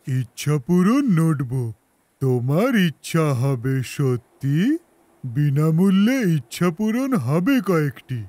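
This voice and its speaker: An actor voicing an eager, impatient child with a sweet tooth in a children's cartoon, in an excitable, expressive manner. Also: medium pitch, takes it slowly, no echo. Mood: excited. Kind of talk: children's animated cartoon dubbing.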